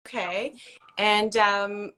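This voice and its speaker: A woman's voice: medium pitch, steady tone, very slow, bright, forceful tone, poor audio. A woman hosts a live online interview. Her voice sits in a middle range, and she greets and guides her guest in an upbeat, welcoming way. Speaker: host.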